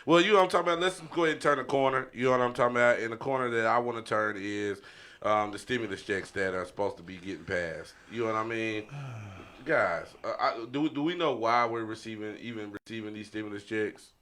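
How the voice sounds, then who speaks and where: Bright-voiced American man hosting a podcast. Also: on the low side, quick pace. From United States, living in Oklahoma. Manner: questioning, often checks listeners follow. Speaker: podcast host. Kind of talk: casual discussion moderating.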